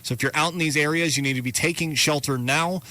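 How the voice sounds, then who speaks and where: A man's voice: on the high side, quick pace, pushed and bright. A man hosting live radio severe weather coverage, reading warnings, place names and safety instructions in a matter-of-fact, urgent way.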